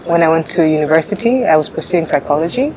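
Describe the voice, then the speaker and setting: A young woman in her twenties, born in Uganda, answering interview questions in a relaxed way with frequent fillers. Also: low-pitched, fast talker. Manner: relaxed, laughs often, hesitant fillers. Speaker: pageant contestant guest. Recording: noisy background.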